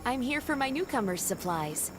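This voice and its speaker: A woman's voice: fairly high pitch, sing-song, moderate pace, music in the background. An actress playing a curious female newcomer in a survival game, speaking in short, direct replies. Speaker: player character. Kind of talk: game cutscene voice acting.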